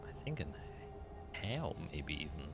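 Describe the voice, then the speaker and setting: A man acting as game master, improvising descriptive fantasy narration in a measured way with pauses as he builds the imagery. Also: a bass voice, very animated, faint music behind.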